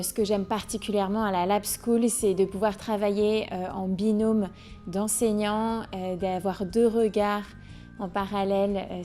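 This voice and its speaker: Lady teacher, mellow soft voice, gentle intro with little pauses. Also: mid-range voice. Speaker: primary school teacher. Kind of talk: recorded self-introduction.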